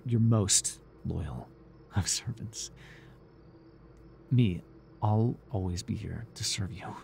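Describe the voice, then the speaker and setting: Man acting a creaky-voiced, fawning cult servant. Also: fairly low pitch, a little mellow, a little hiss or hum. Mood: tender, devoted. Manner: reverent and fawning, halting, with sighs and stammers. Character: devoted, obsessive male cult servant captor of a dark god. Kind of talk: intimate ASMR roleplay acting.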